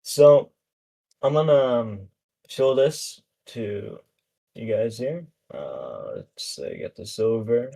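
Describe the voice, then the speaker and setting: A man's voice: medium pitch, big pitch swings, slow, vocal fry, warm tone. A man chatting casually about cars as a podcast co-host. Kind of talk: casual car chat. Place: remote voice chat.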